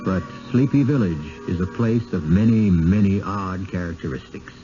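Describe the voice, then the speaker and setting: A man hosting a 1970s radio mystery, reading suspense narration in a measured, formal, dramatic way. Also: deep voice. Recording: muffled 1970s radio broadcast. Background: music.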